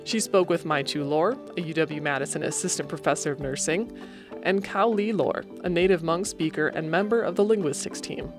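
A woman hosting a public radio program, reading scripted narration in a measured way. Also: sits low, over music. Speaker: radio host. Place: radio studio.

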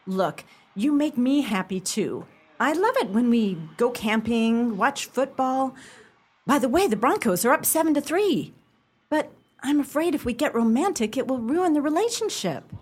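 A woman's voice: sits high, animated, normal speed, a little mellow, breathy edge. An actress playing a blunt, fiery young woman in a radio play, forthright and exasperated.

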